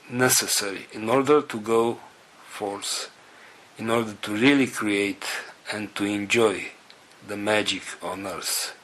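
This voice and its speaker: Very slow, low-voiced earnest man. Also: fairly bright tone, poor audio. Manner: halting, earnest, phrases broken by pauses. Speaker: spiritual group leader. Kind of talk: spiritual address to group members.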